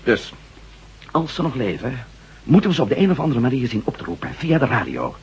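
A man's voice: mid-range voice, big pitch swings, fairly quick, husky, exaggerated voice. An actor playing a determined, hot-tempered former astronaut in a science fiction radio drama, speaking emphatically and arguing his case. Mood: determined, urgent. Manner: emphatic, swearing, argumentative. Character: determined, hot-tempered male ex-astronaut adventurer laying out plans. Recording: dull lo-fi radio drama recording.

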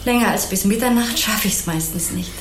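An actress playing a kindly, naive, chatty housewife in an obliging, rambling way in a German crime radio play. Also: female voice, mid-range voice, a little fast, roomy sound, over music, natural voice. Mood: earnest recollection. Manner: obliging, artless, rambling, sympathetic.

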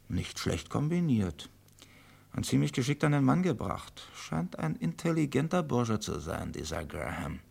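Gravelly, shrewd police inspector. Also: male voice, medium pitch, heavily acted. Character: shrewd, self-assured male police inspector. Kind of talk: vintage German radio crime drama acting.

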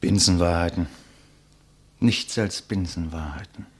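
An actor playing a condemned idealistic man in a 1950s German radio play, speaking solemnly and rhetorically with a husky voice. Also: low-pitched, measured pace. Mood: weary, curt. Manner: solemn, rhetorical, impassioned declamation. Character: condemned man, idealistic intellectual, weary and resigned facing his executioner.

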